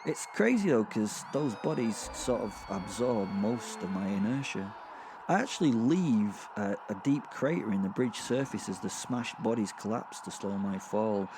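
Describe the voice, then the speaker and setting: A man's voice: fairly low pitch, expressive, normal speed, background noise. A man playing a sadistic, gleeful werewolf killer, narrating a horror audio drama in a rambling, conversational way full of hesitant, amused understatement. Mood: amused, matter-of-fact.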